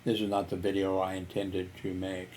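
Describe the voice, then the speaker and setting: A man's voice: low-pitched, completely flat delivery, normal speed, a little mellow, a little hiss or hum. A man telling personal stories in a rambling vlog, filling gaps with "you know" and chuckling. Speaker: YouTuber. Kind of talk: casual storytelling vlog.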